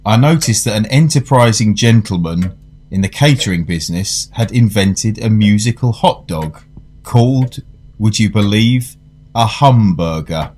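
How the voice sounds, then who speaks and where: A man playing an urbane food lover, narrating a radio tale in a measured, wry manner. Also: lowish voice, expressive, darkish tone, soft background music. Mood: wry, genial. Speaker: narrator and actor in a radio play. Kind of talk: dramatised first-person storytelling.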